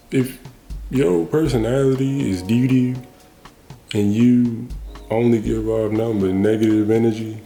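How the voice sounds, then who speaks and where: A man with a mellow, relaxed voice and plenty of fry talks through his thoughts in a casual monologue. He rambles informally and repeats phrases to drive them home. The sound is somewhat roomy. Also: mid-range voice. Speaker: host of a personal talk show.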